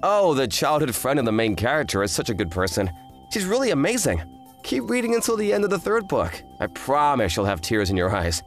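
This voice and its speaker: A woman voices a kind, earnest teenage anime boy, lowish for a female voice and very lively in intonation. He is an excitable, exclamatory type from a romantic comedy dub, with music behind. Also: brisk. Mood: friendly, eager.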